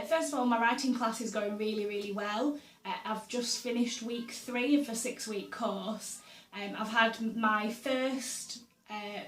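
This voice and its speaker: A young woman chats about her books and her week in a loose, friendly vlog style, full of ums and little laughs. Her voice is a touch hoarse from a sore throat. The sound is roomy and echoey, as if she sits some way back from the mic. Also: fairly high pitch, a bit bright. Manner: chatty, with frequent ums and uhs and little laughs. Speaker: book vlogger. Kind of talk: casual chat to camera. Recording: roomy echo, voice a bit far from the mic.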